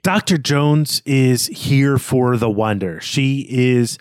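A man with a middle-pitched voice discusses fiction as a podcast co-host. He talks off the cuff and haltingly, working out his ideas aloud. The sound is dry, with no room echo. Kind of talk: unscripted literary discussion.

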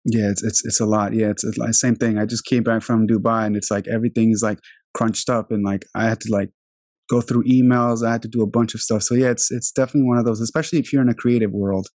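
A man's voice: lowish voice, steady tone, fast talker, a little mellow, dry recording. Laid-back male podcast host, dry sound. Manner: laid-back, repeats words and fills with "like". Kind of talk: casual podcast interview.

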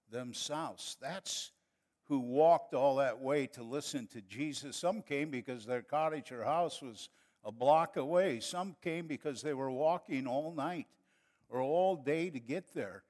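A man's voice: medium pitch, darkish tone. An older man from northern Wisconsin with an Upper Midwest accent, preaching a folksy, chatty sermon that builds to fervent passages. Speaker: pastor.

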